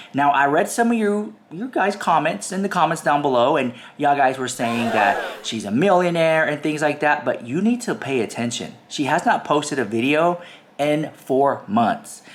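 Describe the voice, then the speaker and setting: A man with a stuffy cold, chatting to viewers in a gossip commentary video and repeating himself for emphasis. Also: fairly high pitch. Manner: chatty, addresses viewers directly, emphatic repetition. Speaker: YouTube commentary host. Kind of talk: casual gossip commentary to camera.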